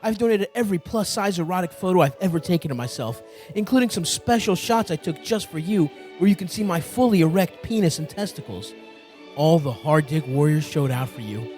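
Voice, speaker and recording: A man's voice: fairly high pitch, expressive, a little fast, a little mellow, close to an everyday voice. A man playing a devoted, shameless friend in a comic radio play. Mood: shamelessly affectionate. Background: music.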